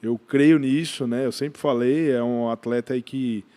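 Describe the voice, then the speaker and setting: A Brazilian man talks as a guest on a podcast, in a medium-pitched voice. His delivery is casual and halting, with repeated words and restarted phrases. He often tacks a brief questioning tag onto what he says. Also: steady tone, dark tone, clear, resonant tone. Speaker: podcast guest, gym brand owner.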